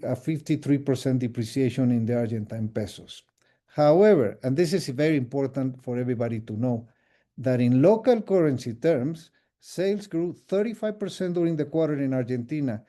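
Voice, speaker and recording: A man presenting financial results as a company executive on a formal earnings call, measured and explanatory, stressing his key points. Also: medium pitch, mellow.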